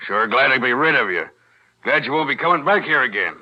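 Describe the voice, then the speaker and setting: Old radio thriller: a gruff prison guard, very forceful, barking impatiently. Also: male voice, mid-range voice, lively intonation, brisk. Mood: brusque, scornful. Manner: brusque, scornful. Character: gruff, impatient male jailer rousing a prisoner. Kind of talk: 1940s radio drama acting. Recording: muffled old radio recording.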